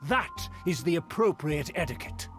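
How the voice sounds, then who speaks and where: Snooty game aristocrat, highish voice that swoops around, scornful and pompous, music behind. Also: male voice, expressive, normal speed, a bit bright, husky. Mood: haughty, scornful. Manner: pompous, scornful, florid formal speech. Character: haughty, pompous, easily affronted male nobleman. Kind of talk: video game character acting.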